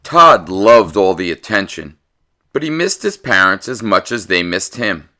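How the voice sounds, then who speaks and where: A man narrating a children's picture book as a read-aloud host, theatrical and deliberate in his storytelling. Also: on the low side, sing-song, pushed and bright.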